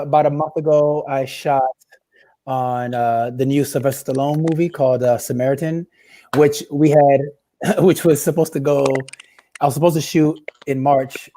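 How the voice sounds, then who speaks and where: A man's voice: mid-range voice, warm tone. A man, an actor, chatting casually on a panel, rambling with fillers and laughing.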